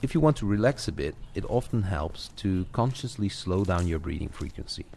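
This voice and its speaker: Low-voiced man guiding a listener, background noise. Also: lively intonation, brisk, a little mellow. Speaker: coach guiding a walking audio session. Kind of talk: guided coaching talk, conversational, addressed to one listener. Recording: clear background noise of outdoor walk effects. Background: added walking footsteps, garden birds, ambience.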